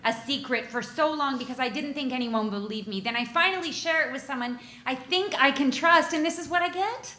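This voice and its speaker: An actress playing an earnest, easily hurt young woman in an animated comedy read, sincere and indignant. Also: high-pitched, fairly quick, hardly any pauses, reverberant. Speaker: voice actor at a live table read. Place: stage with live audience.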